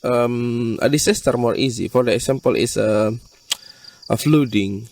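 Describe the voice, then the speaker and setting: A man from Borneo with an Indonesian accent, giving earnest, halting interview answers in non-native English. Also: mid-range voice, a little mellow, distant mic, slight background noise. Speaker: conservation group leader being interviewed.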